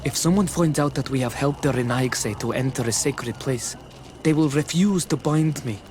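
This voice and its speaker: A man's voice: medium pitch, moderate pace, a little mellow, lightly acted. An actor playing a wary but friendly young native tribesman in a fantasy video game, speaking solemnly and formally. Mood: worried. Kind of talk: fantasy game dialogue acting. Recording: noticeable outdoor background noise.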